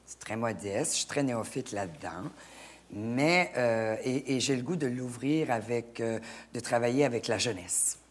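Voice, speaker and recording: A middle-aged woman talks as a guest on an interview show. She speaks in a halting way, restarting and repeating words as she gathers her thoughts. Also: very deep voice, lively intonation. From Acadia, Canada. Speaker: actress, guest. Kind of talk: casual interview chat.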